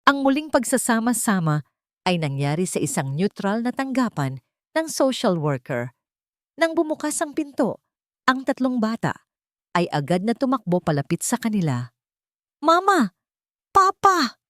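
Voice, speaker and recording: A woman narrating a scripted romantic drama audiobook in a measured, dramatic storytelling manner. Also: medium pitch, big pitch swings, no echo, clean studio sound.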